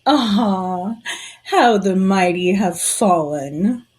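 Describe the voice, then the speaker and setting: A woman playing a shrewd, suspicious conspirator in a period audio drama, telling things in a knowing, deliberate way. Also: medium pitch, lively intonation. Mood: mocking.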